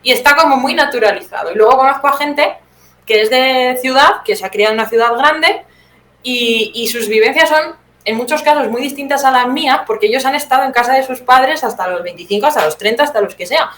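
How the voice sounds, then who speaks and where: A woman's voice: high voice, a little fast, a bit bright. A young woman from Teruel with an accent from Spain, answering interview questions conversationally as a guest playwright. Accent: Spanish from Spain. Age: young adult. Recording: roomy echo, clear background noise.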